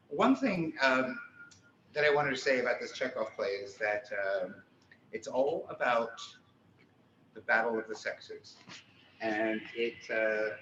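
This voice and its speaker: A man in his sixties, the director and host, introducing the reading in a chatty, halting way from a small cabaret stage over a video call. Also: mid-range voice, pushed and bright. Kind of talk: casual introduction. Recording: roomy, muffled, slight noise.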